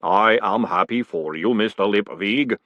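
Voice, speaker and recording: A man voicing a huge male clay golem who speaks slowly, calmly and ponderously. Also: dry recording, highs cut off, close to an everyday voice. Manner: slow, booming, calm.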